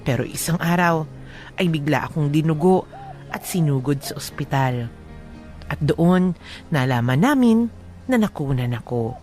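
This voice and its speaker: A married Filipino woman recounting her love story on radio. Her voice is notably low, with a measured, heartfelt and wistful delivery over faint music. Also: lively intonation, darkish tone. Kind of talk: dramatized letter narration for radio.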